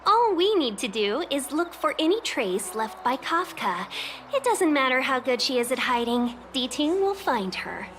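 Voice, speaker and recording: A coy, assured young female guide for a video game: high-pitched, very melodic, measured and teasing. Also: sing-song, fairly bright tone, a little hiss or hum, full character voice. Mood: assured, explanatory. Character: poised young woman guide, smooth, coy and businesslike. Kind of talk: video game character dialogue.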